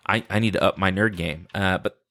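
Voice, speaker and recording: A man's voice: deep voice, steady tone, brisk, bright, forceful tone. A man hosting a podcast solo in a casual, unscripted way, chatting playfully and rambling with self-deprecating humor. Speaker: podcast host.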